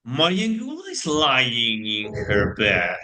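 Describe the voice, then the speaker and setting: Slow, animated non-native male narrator. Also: medium pitch. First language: not English. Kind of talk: dramatized horror story narration. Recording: loud music behind the voice.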